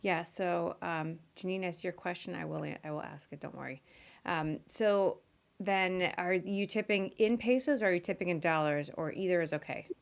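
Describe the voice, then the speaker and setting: A woman's voice: lowish voice, normal speed, creaky. A woman hosting a casual live interview, chatty and informal as she puts questions to her guest. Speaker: podcast host.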